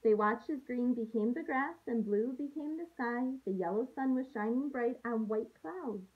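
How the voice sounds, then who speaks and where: A woman in her thirties, a teacher reading aloud to young children with an expressive, sing-song delivery, recorded on a handheld-distance device at home. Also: a little high, moderate pace, husky, narrow, tinny audio. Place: small room at home, indoors. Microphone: phone or laptop at arm's length.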